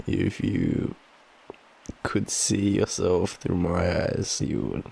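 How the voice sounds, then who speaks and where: A man's voice: low voice, fairly even intonation, extremely slow, gravelly, a little mellow, a little hiss or hum. A tired man with an Australian accent, speaking sleepily and affectionately in an intimate bedtime boyfriend roleplay. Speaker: audio roleplay performer playing a boyfriend.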